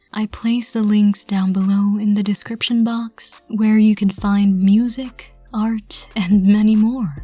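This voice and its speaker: A woman giving a gentle scripted channel outro to listeners as a café-style host, with music behind her. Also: medium pitch, dry recording.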